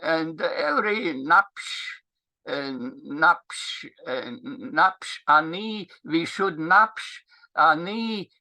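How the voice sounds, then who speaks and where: A man with a Latvian accent, a native Latvian speaker, giving an unscripted linguistics talk in English, halting and searching for words with frequent restarts. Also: fairly high pitch, pushed and bright.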